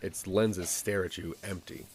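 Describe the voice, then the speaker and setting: A man's voice: low-pitched, fairly even intonation, measured pace. A man narrating a scripted audio drama in the second person, with a dramatic storytelling manner.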